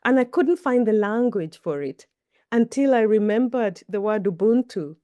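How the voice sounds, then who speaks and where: A woman's voice: on the high side, lively intonation, normal speed, mellow, no room sound. A middle-aged Kenyan woman, a native Kikuyu speaker, answering interview questions in a thoughtful, storytelling way. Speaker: guest. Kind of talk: reflective interview talk.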